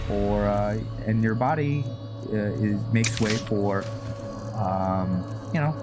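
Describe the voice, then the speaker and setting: A man giving casual gameplay commentary, musing and hesitant as he thinks aloud. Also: lowish voice. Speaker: gamer commentating a roleplay playthrough. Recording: loud music over voice. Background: loud game music.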